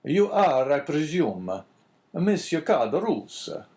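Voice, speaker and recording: A man voicing a courteous, inquisitive priest with an Italian accent, speaking formally and deliberately in a storybook reading. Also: a little high, very animated, a little mellow, voice pushed up. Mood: probing.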